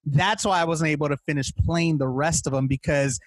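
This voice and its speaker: A man hosting a podcast in a casual, playful chat about video games, animated and stumbling over his words. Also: on the high side, fairly quick, dry recording. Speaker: podcast host interviewing a guest.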